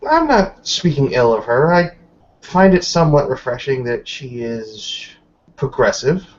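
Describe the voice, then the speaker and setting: A man playing a wary, blunt female werewolf with a measured, formal and deliberate way of talking. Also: medium pitch, very animated, dull audio, a little hiss or hum. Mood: measured, concerned.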